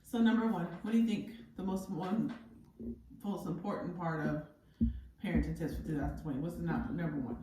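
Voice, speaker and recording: A woman's voice: on the low side, fairly even intonation, brisk. A middle-aged American woman chatting casually as co-host, sharing parenting advice. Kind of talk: casual chat. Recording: very echoey room, distant mic, faint noise.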